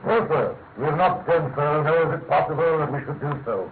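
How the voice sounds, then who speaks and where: An actor playing an austere, dominant British statesman in a classic radio drama, with weighty, deliberate, formal delivery. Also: on the high side, natural voice. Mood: grave, stern. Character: austere, dominant elder statesman, grave Prime Minister. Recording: thin narrow-band old radio recording, faint noise.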